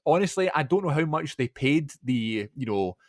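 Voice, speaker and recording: A man chats on a podcast in an enthusiastic, rambling way, full of ums and restarts. His pitch sits in a middling range. The recording is dry and close. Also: animated, normal speed, dry recording. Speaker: podcast co-host. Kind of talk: casual chat.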